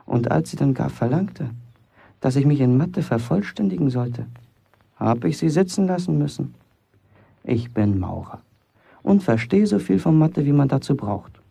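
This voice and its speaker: Casting: boastful young working lad for radio drama, lively swooping pitch, gravelly edge, provocative and defiant. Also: male voice, moderate pace, exaggerated voice. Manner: colloquial, provocative, self-justifying. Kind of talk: German radio play acting.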